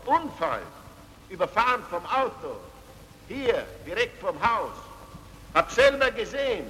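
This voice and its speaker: An actor playing a talkative, blunt house porter in an old radio drama. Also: male voice, sits high, very animated, a little slow, sharp tone, exaggerated voice. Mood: blunt, matter-of-fact. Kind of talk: 1950s radio drama acting. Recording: reverberant hall, noisy old radio production.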